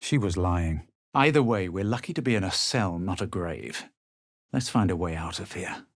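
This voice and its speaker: Game hero, male: haughty young adventurer, low and slightly husky, measured aloof delivery, dry close recording. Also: lively intonation, no room sound. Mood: self-assured. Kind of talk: video game character lines and storytelling narration.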